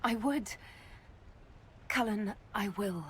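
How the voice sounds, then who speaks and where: A woman playing a composed, affectionate female leader in a video game cutscene, speaking gently. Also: medium pitch, slow pace, slight background noise, close to an everyday voice. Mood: moved, loving.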